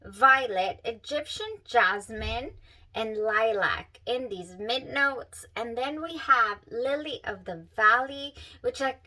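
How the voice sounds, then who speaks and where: A woman's voice: a little high, bright, forceful tone, distant mic, poor audio. Nasal, stuffed-up woman gushing. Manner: gushing, breathy sighs over scents. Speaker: perfume reviewer, channel host. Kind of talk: casual talk to camera.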